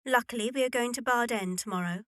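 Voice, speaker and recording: Arch, high-voiced young lady played by an actress. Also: fast, fairly bright tone, smooth, no room sound, clean studio sound, close to an everyday voice. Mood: arch, composed. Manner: formal, arch, decided. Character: Paula, wealthy self-possessed young lady, coolly opinionated. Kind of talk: period radio drama acting.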